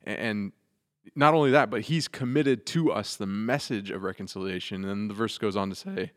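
A man's voice: lowish voice, normal speed, a bit bright, big-room sound. A man co-hosting a church podcast, asking thoughtful, rambling questions with restarts. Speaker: podcast co-host. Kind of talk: casual podcast discussion.

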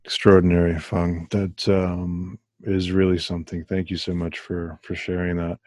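Host: low, dark-toned man, measured and hesitant, dry recording. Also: flat delivery, no echo. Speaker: interviewer. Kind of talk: interview questions.

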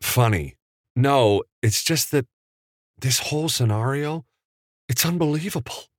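A man voicing an eager, excited young man who is awed by old Hollywood. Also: mid-range voice, unhurried, no echo, studio quality, voice pushed up. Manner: eager, excited, breathless with wonder. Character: young man, eager and awestruck movie fan.

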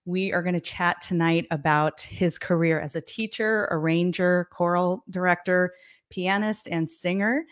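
A woman's voice: on the low side, fairly even intonation, unhurried. A woman hosting an interview, reading a prepared introduction and then asking encouraging questions. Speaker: podcast host.

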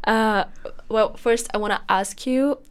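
A woman's voice: a little high, measured pace, clean tone. Young woman hosting a podcast. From Bulgaria. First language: Bulgarian. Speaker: podcast host. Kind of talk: casual conversational interview.

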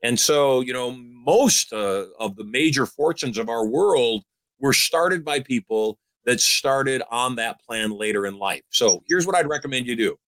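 Older man in his sixties, emphatic coaching host, mid-pitched voice, dry studio sound. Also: animated, measured pace, fairly bright tone, no echo, poor audio. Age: 60s. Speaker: host giving advice. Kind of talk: motivational call-in advice.

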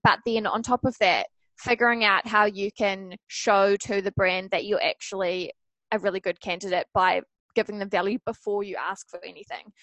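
A woman's voice: medium pitch, fairly bright tone, dry recording. Female expert guest, mid-range voice, dry close sound, chatty and advising. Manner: conversational, advising. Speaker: social media expert guest. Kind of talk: casual interview answers.

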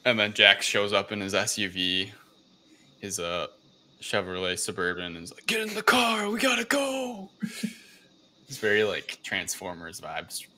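A man with a low, forceful voice co-hosts a casual movie podcast. He retells scenes loosely, slipping into quoted dialogue as he goes. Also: big pitch swings, a little slow. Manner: acts out characters' lines while retelling scenes. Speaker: podcast co-host. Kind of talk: casual film review discussion.

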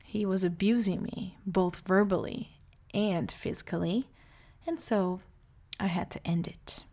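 A woman in her late thirties from Brazil, calmly telling her life story in a reflective monologue. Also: fairly low pitch. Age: 30s.

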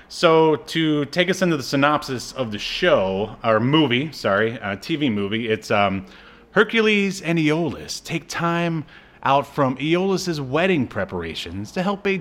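A man co-hosting a podcast in casual film and TV chat, a native English speaker with a jokey, chuckling, halting delivery. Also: medium pitch, a bit bright. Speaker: podcast co-host.

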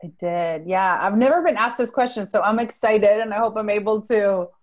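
A woman's voice: medium pitch, moderate pace. Rich: An adult woman from Venezuela, speaking English as a later language. She tells her own story as an interview guest, earnest and reflective, often restarting a thought and checking in with "right?". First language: Spanish.

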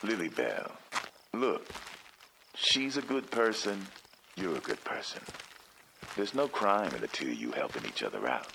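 A man's voice: on the low side, sing-song, a little slow, vocal fry, long pauses, pushed and bright, a little hiss or hum, full character voice. An actor playing an affectionate, encouraging father in an audio drama, reassuring and teasing in a folksy way. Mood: fond, reassuring. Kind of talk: naturalistic audio drama dialogue.

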